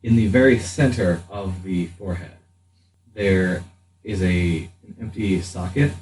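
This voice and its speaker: A deep-voiced man narrates a tabletop game as game master. He talks slowly and haltingly, pausing mid-sentence. The recording is roomy, with faint noise. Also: darkish tone, far from the mic.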